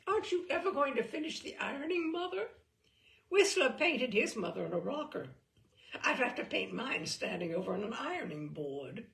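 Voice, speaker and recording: Flippant, lively teenage daughter acted by a woman reader for literary storytelling, higher in pitch, teasing and airy in manner. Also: moderate pace, creaky, full character voice. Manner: light, breezy. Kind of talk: dramatic short story reading.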